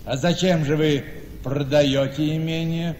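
An actor playing a shrewd, folksy gentleman in a radio play, chatty and sly, often repeating his phrases. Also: fairly high pitch, fairly even intonation, a little slow, natural voice. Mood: probing, sly. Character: shrewd, folksy older male relative buying an estate. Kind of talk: theatrical radio play acting. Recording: noisy radio play recording, long reverb.

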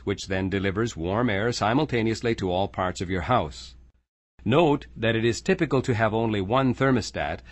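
A man with a fairly low voice reads scripted training narration in a measured, explanatory manner. The close studio mic leaves his voice dry, with no room sound. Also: dry recording. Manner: measured, clear explanatory delivery.

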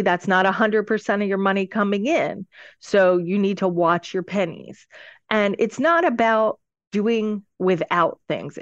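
A woman's voice: mid-range voice, no echo. A woman speaking as a podcast guest and business adviser, explaining conversationally and emphatically, step by step. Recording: dull lo-fi audio.

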